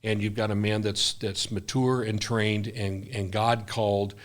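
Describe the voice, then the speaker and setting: A man's voice: lowish voice, little pitch movement, a little slow. An older man, a missionary guest, talking earnestly in casual conversation, often restarting his sentences.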